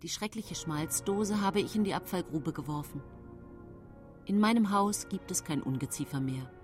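An actress playing a calculating, self-pitying poisoner widow who narrates her own tale in a radio drama, with a husky voice. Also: lowish voice, moderate pace, faint music behind, natural voice. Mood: uneasy.

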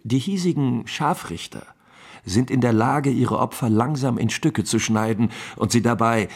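An actor playing an affable, talkative captain of the imperial guard in a German radio drama, chatty, courteous and persuasive, with a husky voice. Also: male voice, medium pitch, measured pace. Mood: explaining.

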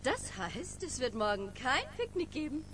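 A woman's voice: sits high, sing-song, measured pace, a bit bright, a little hiss or hum, heavily acted. An actress playing an affected, pretentious middle-aged mother and hostess in a radio play, effusive and socially eager. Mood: nagging, complaining.

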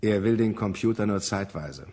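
A man's voice: on the low side, expressive, normal speed, warm tone, breathy edge. A man acting a pragmatic, resigned senior scientist in a 1970 radio drama, terse and sober, in standard German.

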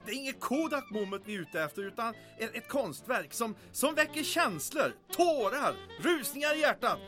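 A man's voice: very high-pitched, sing-song, pushed and bright, soft background music, heavily acted. An actor playing an affected young male art photographer, hesitant and dreamily directing, in an old-time radio comedy drama. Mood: gushing.

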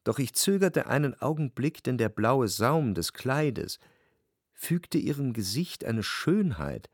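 An actor playing an anxious, jealous lover who recalls his life in measured, introspective radio drama narration. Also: mid-range voice, big pitch swings, husky, no echo, clean studio sound, full character voice. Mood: brooding, introspective. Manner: measured, introspective, literary phrasing. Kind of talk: literary radio drama narration with quiet dialogue.

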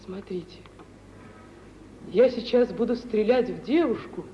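An actress playing a proud, high-strung young woman in love, theatrical and emotional, in a 1950s Soviet radio drama. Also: close to an everyday voice. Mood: rapt, wistful. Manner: theatrical, emotional, drawn-out delivery. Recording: dull, hissy old radio drama recording.

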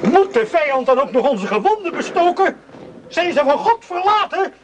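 A man's voice: very high-pitched, big pitch swings, moderate pace, background noise. A man playing a brusque, overworked army surgeon in a radio play, curt and barking orders. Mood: indignant. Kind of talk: radio drama acting.

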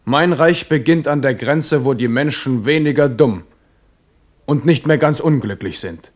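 A man's voice: medium pitch, a little slow, close to an everyday voice. An actor playing an ardent, weary but resolute French king in a German radio drama. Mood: defiant, resolute.

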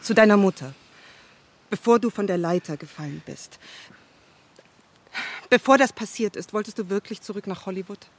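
An actor playing a bitter, sceptical spouse in a radio drama, speaking in a probing, sardonic, measured way. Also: male voice, sits high. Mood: accusing. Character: bitter, sceptical spouse of a vain film director. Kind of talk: German radio drama acting.

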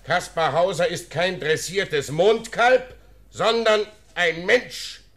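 An actor playing a decisive high official in a radio drama, formal, commanding and emphatic. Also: male voice, takes it slowly, a bit bright. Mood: indignant, decisive. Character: authoritative, decisive high official addressed as Excellency. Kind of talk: German radio drama acting.

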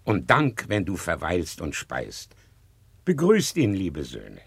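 A man's voice: sits low, huge pitch swings, slow, gravelly, breathy edge, full character voice. An actor playing a kindly, noble, fatherly huntsman in a radio play, speaking in a hearty, declamatory way. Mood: welcoming, fatherly. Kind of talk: classic German radio play acting.

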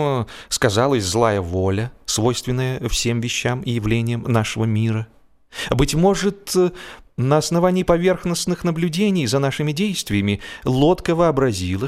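A man narrating a humorous story expressively, theatrically, with deliberate pauses and stretched words. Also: medium pitch.

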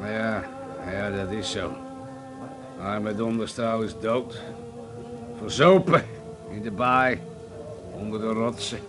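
An actor playing a crude, boozy, domineering older man in a Dutch radio play, gruff and mocking, given to swearing bluster. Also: fairly low pitch, slow pace, long pauses, music in the background.

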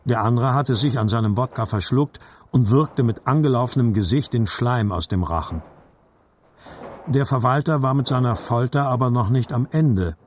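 A man reading a literary story as a scripted audiobook narration, with a husky voice. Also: medium pitch, moderate pace, a little mellow, a little hiss or hum.

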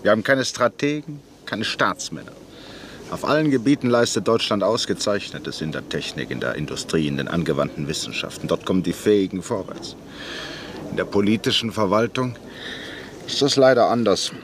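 An actor playing a wealthy, analytical industrialist who talks in a deliberate, lecturing way in a radio drama. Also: male voice, lowish voice, a little hiss or hum, close to an everyday voice. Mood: pensive.

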